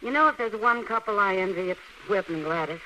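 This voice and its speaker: An actress playing a frank, warm-hearted frontier woman in an old-time radio western. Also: medium pitch, brisk, natural voice, rough recording. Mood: fond. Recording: old radio recording, faint noise.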